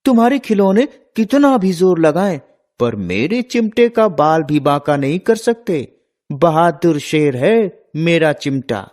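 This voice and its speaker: A woman's voice: low-pitched, sing-song, a little slow, darkish tone. A woman voicing a brave, caring young village boy in a children's story. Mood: proud, boastful.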